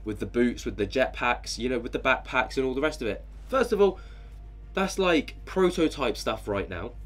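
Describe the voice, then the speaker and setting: A man's voice: medium pitch, expressive, normal speed, a bit bright, faint music behind. Stuffy-nosed man chatting casually. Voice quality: nasal, stuffy. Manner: rambling, many fillers. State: congested with a head cold. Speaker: channel host. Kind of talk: casual commentary on a video.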